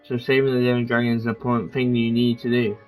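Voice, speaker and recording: A man's voice: mid-range voice, level tone, quick pace, poor audio. Casual man commentating over a game. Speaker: game streamer. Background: game music.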